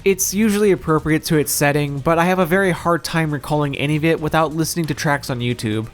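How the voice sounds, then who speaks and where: A man's voice: a little high, fast. A man narrating a scripted video game review in a wry, opinionated, casually profane manner, as the reviewer himself. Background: music.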